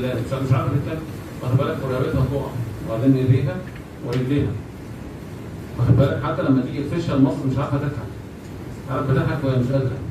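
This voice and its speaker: Very fast-talking Egyptian man lecturing, echoey room. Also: fairly high pitch. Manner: conversational, repeats phrases and checks students follow. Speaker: medical lecturer. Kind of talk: informal classroom lecture mixing Arabic with English medical terms. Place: indoor classroom at a whiteboard with students. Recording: very echoey, noisy classroom, distant microphone.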